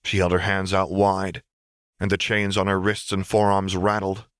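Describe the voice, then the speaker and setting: A man with a low voice narrates a story in a dramatic, scripted audiobook style. The recording is close and dry, with no room sound. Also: monotone, moderate pace, fairly bright tone, clean tone, no echo.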